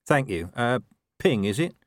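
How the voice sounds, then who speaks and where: A man's voice: medium pitch, very animated, measured pace, dry recording. Tired sarcastic police chief, a guy doing him, dry clipped questions. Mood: sardonic. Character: weary, dry-witted male watch commander.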